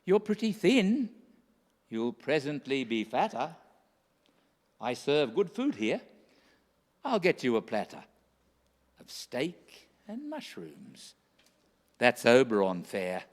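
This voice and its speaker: An actor playing a kindly, bustling old Santa Claus in a radio play, hearty and chatty in rhyming verse. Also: male voice, medium pitch, wildly swooping pitch, takes it slowly, pauses often, exaggerated voice. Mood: hearty, hospitable. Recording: echoey hall with long reverb.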